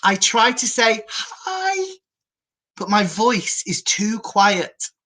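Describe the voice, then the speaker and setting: A woman reading a picture book aloud to children as a storyteller, in an animated, encouraging and theatrical manner. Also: medium pitch, very slow.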